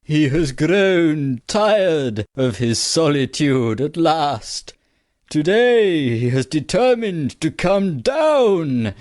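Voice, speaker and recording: An earnest, fretful suitor from a stage parody, acted out. He is fussy and exclamatory, stretching words slowly with lively swings in pitch, recorded close and dry. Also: dry recording. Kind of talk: comic stage-play acting.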